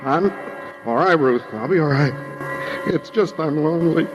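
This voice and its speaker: An actor playing an earnest, polite, bewildered and lonely son in an old-time radio drama. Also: male voice, a little high, normal speed, music in the background, natural voice, rough recording. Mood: lonely, pleading. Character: bewildered, lonely son lost in feverish dreams. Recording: old radio broadcast with musical underscore.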